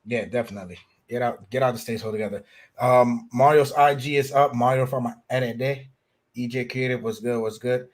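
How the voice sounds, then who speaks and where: A man's voice: medium pitch, steady tone, fairly quick. A man hosting a live stream chat over a video call, laid-back and agreeable. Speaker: host.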